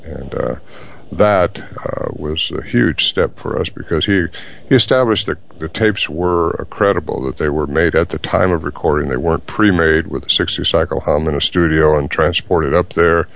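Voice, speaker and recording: Very deep gravelly older man, halting. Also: fairly bright tone. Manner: halting, many fillers. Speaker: guest. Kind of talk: interview answers. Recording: dull lo-fi audio.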